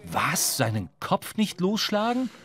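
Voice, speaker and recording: A man's voice: high voice, wildly swooping pitch, slow, heavily acted. An actor playing a brooding, wry wanderer, telling his own story in a literary radio drama. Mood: puzzled, uneasy. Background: loud music.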